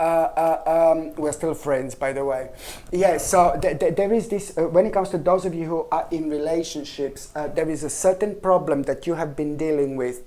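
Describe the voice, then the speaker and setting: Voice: medium pitch, darkish tone. Rambling, chatty tarot reader. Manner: chatty and rambling, often trailing off and restarting; repeatedly addresses viewers with a pet name. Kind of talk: casual monthly tarot reading to camera.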